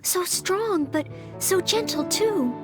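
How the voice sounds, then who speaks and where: A woman voicing a gentle, earnest young maid-knight girl in a video game, speaking politely and formally. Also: very high voice, animated, slow, over music, heavily acted.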